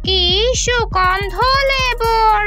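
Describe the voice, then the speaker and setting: A woman's voice: very high voice, extremely slow, exaggerated voice. A woman voicing a female bird in a children's cartoon. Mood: eager. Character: female bird, stern drowsy aunt or eager wife. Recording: loud music over the voice.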